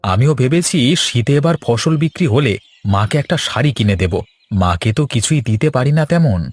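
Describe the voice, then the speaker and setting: A man's voice: mid-range voice, lively intonation, brisk, soft background music, close to an everyday voice. A man voicing a poor, caring village farmer and family man in a cartoon, talking plainly and earnestly. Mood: tender. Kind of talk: cartoon voice acting.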